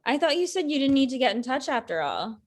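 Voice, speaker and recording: Female actor as needling ex-girlfriend, thirties, highish voice, blunt, sarcastic, conversational table read. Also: sing-song, quick pace. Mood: casual, needling. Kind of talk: script table read, conversational comedic acting.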